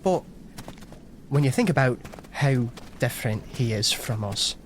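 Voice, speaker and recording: A man's voice: mid-range voice, lively intonation, unhurried, a little hiss or hum, lightly acted. Man acting a troubled, hesitant young knight. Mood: uneasy. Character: troubled, doubting young knight confiding in his brother. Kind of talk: audio drama acting.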